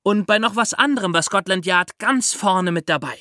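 A man's voice: high-pitched, expressive, normal speed, pushed and bright, no echo, studio quality. A man hosting a podcast, explaining things conversationally and addressing young listeners directly. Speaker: podcast host.

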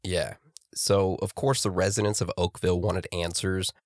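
A man hosting a casual podcast, congested from a cold, chatty and quick to laugh. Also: low-pitched, level tone, no echo, clean studio sound. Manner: laughing, chatty, talks over his co-host. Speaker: podcast host.

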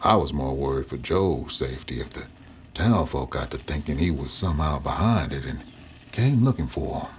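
A man's voice: rumbling low, lively intonation, normal speed, a little hiss or hum. An actor playing a folksy Southern man telling grim memories in a matter-of-fact way for an audio drama. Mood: reflective. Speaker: narrator telling his story to an interviewer. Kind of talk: audio drama first-person storytelling.

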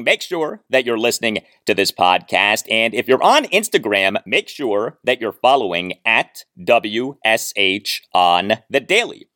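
A man hosting a sports podcast, reading out promotion in a deliberate, list-like way with repeated phrases. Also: on the low side, fairly bright tone, no echo, studio quality.

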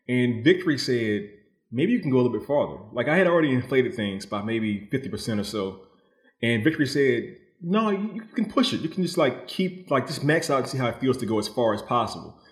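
A man giving thoughtful, conversational answers as a novelist guest, explaining his thinking and voicing other people's views as he goes. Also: mid-range voice. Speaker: novelist guest being interviewed. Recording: roomy, echoing voice.

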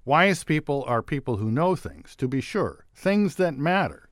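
A man's voice: on the high side, sing-song, measured pace, no room sound, studio quality. A man hosting a radio talk show, explaining ideas in a thoughtful, scripted way. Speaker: radio host.

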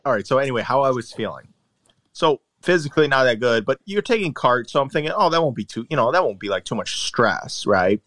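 A man's voice: medium pitch, expressive, brisk, fairly bright tone, no echo. A man chatting casually on a podcast, telling long, rambling, self-deprecating stories into a close mic. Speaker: golfer guest and regular of the podcast.

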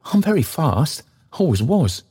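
A man voicing an excitable, kind-hearted old male porter who bustles about breathlessly and keeps repeating himself. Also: fairly high pitch, unhurried, husky, pitched above the performer's own voice, full character voice. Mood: bustling, eager.

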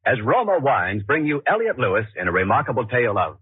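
A man's voice: mid-range voice, sing-song, fast, husky, no room sound. An American man introducing a sponsored programme in 1940s radio announcing style. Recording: tinny, narrow 1940s radio recording.

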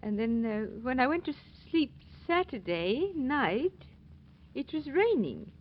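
An actress playing a fretful, forgetful old aunt in a 1940s radio drama, speaking in a hesitant, apologetic way. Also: female voice, sits high, big pitch swings, slow pace, heavily acted. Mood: flustered, earnest. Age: older. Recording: dull, noisy old 1940s radio recording.

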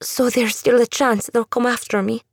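A woman's voice: on the high side, steady tone, normal speed, no room sound, studio quality, pitched above the performer's own voice. A woman voicing a frightened, wary young woman who keeps asking anxious questions. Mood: fearful.

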